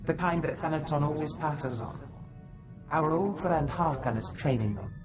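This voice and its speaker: An actor playing a scheming Sith mentor who gives counsel in a video game cutscene, measured and formal. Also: medium pitch, over music, natural voice. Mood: calculating.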